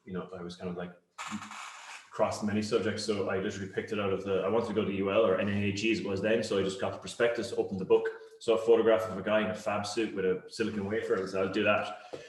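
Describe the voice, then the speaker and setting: A middle-aged Irish man with a Cork accent answers interview questions conversationally, reflective and halting as he rephrases his thoughts. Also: on the low side, flat delivery. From Cork, Ireland. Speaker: interview guest, company founder. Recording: roomy, echoey sound.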